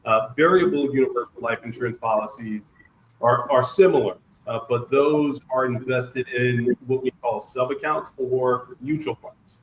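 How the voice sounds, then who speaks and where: A man's voice: mid-range voice, moderate pace. A man in his thirties speaking as an interview guest, answering conversationally and often repeating words as he goes. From Liberia, grew up in Ohio. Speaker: guest, financial services wholesaler. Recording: roomy, muffled, noisy sound.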